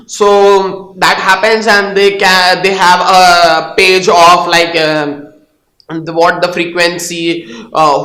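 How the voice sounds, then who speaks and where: A man's voice: sits high, sharp tone. A young Indian man with an Indian English accent, hosting a casual tech explainer video and talking hesitantly with many fillers and restarts. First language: Hindi or Tamil. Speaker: tech channel host. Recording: echoey room.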